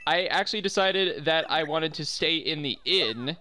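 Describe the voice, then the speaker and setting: A man's voice: a little high, moderate pace, bright, forceful tone. A young man giving chatty, self-deprecating commentary over recorded gameplay. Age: young adult. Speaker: let's play commentator.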